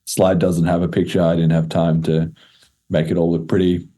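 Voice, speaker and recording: Low, mellow-voiced man hosting a podcast. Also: little pitch movement, moderate pace. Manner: informal, slangy. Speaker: podcast host. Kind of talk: casual podcast chat.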